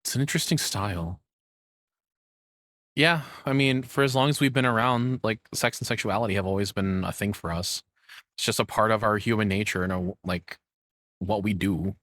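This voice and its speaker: A man's voice: fairly low pitch, moderate pace, fairly bright tone, dry recording, clean studio sound. A man giving casual, informal reaction commentary as a streamer, with hesitant asides. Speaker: VTuber reacting to a video.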